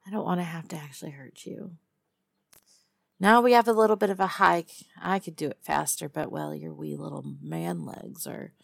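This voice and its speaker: A woman performs a female lizard-person hunter from Texas in an intimate audio roleplay. Her Southern drawl is low and heavily fried with creak, recorded close and dry. She teases and needles in a sardonic, guarded way, pausing to hum and muse. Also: dry recording. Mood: teasing, condescending. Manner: drawling, sardonic, teasing, with musing pauses and hums. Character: tough, teasing female lizard-person huntress, guarded but lonely. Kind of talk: one-sided immersive audio roleplay to a captive listener.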